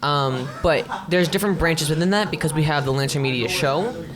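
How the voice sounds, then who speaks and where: A man's voice: a little high, a little fast, crowd noise. A teenage boy explaining his school media club in an easygoing casual chat, with some fillers. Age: teens. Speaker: student club member. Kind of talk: casual round-table chat.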